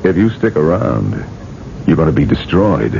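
A deep-voiced, ruthless businessman. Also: sing-song, moderate pace, gravelly, noisy background, exaggerated voice. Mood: cold, dismissive. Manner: curt, self-justifying. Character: ruthless, proud, defensive businessman, at least middle-aged. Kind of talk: radio drama acting. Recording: dull old radio broadcast.

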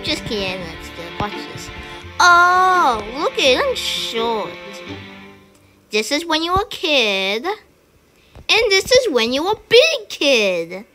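Excitable high-voiced woman gamer. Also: slow, poor audio. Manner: excitable, chatty, with sudden high squeals. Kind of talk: casual gameplay commentary. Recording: game music behind. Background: game music.

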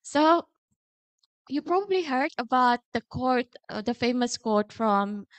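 A middle-aged Indonesian woman speaks as a guest lecturer, her voice set a little high. She talks casually and modestly, filling pauses with ums. The sound is dry and close on a handheld mic. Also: lively intonation, no echo. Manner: chatty, self-deprecating, many fillers. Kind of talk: public lecture. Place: lecture hall.

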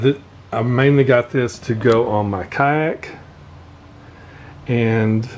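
A man giving a casual, unscripted hands-on gear walkthrough as a vlogger, pausing often mid-phrase. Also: medium pitch. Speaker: vlogger showing fishing gear. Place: indoor garage workshop. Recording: steady background noise, room ambience.

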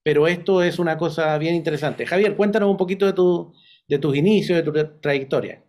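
Chilean guy hosting a video call interview, voice a bit high, halting and kinda rambly. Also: a little mellow. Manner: halting, digressive. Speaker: interview host. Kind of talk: conversational interview. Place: indoor room on a video call.

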